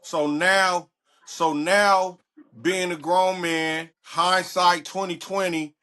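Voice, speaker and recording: Co-host: man, higher, bright forceful voice, asks probing questions. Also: level tone, takes it slowly. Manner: brief affirmations, probing follow-up questions. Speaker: podcast co-host. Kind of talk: casual interview.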